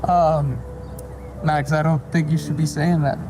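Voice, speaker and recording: An actor playing a kind, patient male surgeon in an audio drama, speaking politely and gently with some hesitation. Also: fairly high pitch, flat delivery, measured pace, noisy background, natural voice. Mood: awkward, gentle. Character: kind, patient male neurosurgeon, eligible bachelor.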